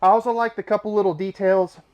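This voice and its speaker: A man's voice: high-pitched, steady tone, quick pace, clean tone, lo-fi sound. A man presenting an RV dealership walkthrough from inside a travel trailer, upbeat and chatty with casual asides, his voice slightly nasal from allergies. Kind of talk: product walkthrough tour.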